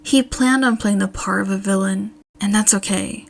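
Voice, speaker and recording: A woman's voice: mid-range voice, fairly even intonation, normal speed, creaky. A woman reading a scripted story aloud as an audiobook narrator. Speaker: fan fiction audiobook narrator.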